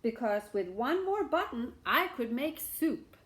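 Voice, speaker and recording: A wily beggar man from a storybook, played by a woman, pitched high and swooping dramatically. He implores and persuades in exclamatory, theatrical phrases. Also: sing-song, a little slow, fairly bright tone. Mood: persuasive. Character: wily, pleading old-world male beggar, dramatic and persuasive. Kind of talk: storybook read-aloud.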